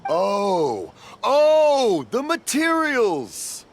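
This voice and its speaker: A man's voice: very high voice, huge pitch swings, extremely slow, very noisy, full character voice. An actor playing a cheerful, muddled, hard-of-hearing elderly father and craftsman in a video game. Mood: obliging. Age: older. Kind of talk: video game character acting.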